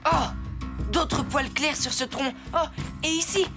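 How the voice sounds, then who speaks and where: High-voiced, brave young cartoon boy. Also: slow, music in the background. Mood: curious, focused. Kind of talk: French cartoon dubbing.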